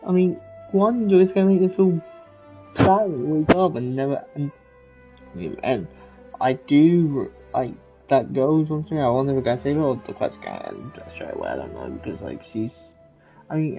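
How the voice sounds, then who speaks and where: Young man rambling with very lively pitch. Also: muffled recording. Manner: rambling, informal, thinking aloud. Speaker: gaming streamer. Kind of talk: casual gameplay commentary. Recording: game music behind the voice.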